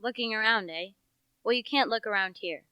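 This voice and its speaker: A young woman playing a gruff, nosy rustic village policeman in a radio play, with a folksy, officious way of talking. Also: fairly high pitch, a little fast, bright, forceful tone, no echo, dull audio. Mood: officious, suspicious. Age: young adult. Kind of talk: radio play character acting.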